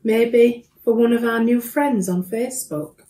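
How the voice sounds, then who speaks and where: A middle-aged woman leads prayers in a slow, measured way, leaving pauses between short phrases. Her voice is soft-edged and mellow. She is recorded a little way from the microphone in a domestic room. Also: on the high side, vocal fry. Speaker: church prayer leader. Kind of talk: reading led prayers aloud to a congregation. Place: small domestic room, indoors. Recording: slightly distant microphone.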